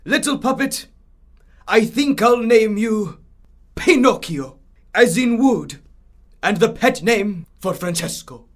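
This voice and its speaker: A fussy, lonely old male woodcarver in an audio drama, played by a man. His voice sits high for a man. He rambles and grumbles with theatrical flair. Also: lively intonation, slow pace, pauses often, exaggerated voice. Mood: dismayed.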